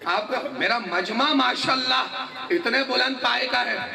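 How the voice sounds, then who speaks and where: High-voiced man preaching with shouts. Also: measured pace, vocal fry. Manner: rhetorical questions, repeats phrases, rises to shouts. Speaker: majlis speaker. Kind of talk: religious sermon to a gathering. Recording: echoey, distant mic, crowd behind.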